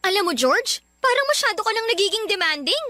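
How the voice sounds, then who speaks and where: An actress playing an irritated, dismissive college student in a radio love drama, curt and exasperated. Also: female voice, extremely high pitch, sing-song, fairly quick, pushed and bright, dry recording, clean studio sound, exaggerated voice. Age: young adult. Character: irritated, dismissive young female college student rejecting suitor.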